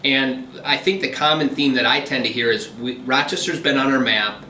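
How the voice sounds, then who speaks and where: A man speaking as a commercial realtor, with a bright, forceful voice. He explains deals in a steady, businesslike way with frequent ums. The sound is distant and echoey, with faint noise behind him. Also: mid-range voice, moderate pace. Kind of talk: phone interview.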